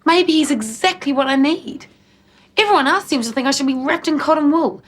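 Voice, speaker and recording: A woman's voice: sits high, animated, a little fast. A defiant young country girl. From rural New Zealand. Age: young adult. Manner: defiant, defensive. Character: headstrong young woman from the country. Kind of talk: 1990s TV soap acting.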